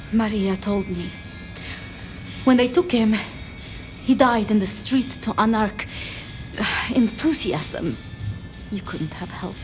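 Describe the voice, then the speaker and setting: A woman voicing a timid, bookish, deferential vampire scholar in video game dialogue, speaking haltingly and apologetically. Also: a little high, narrow, tinny audio. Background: background music.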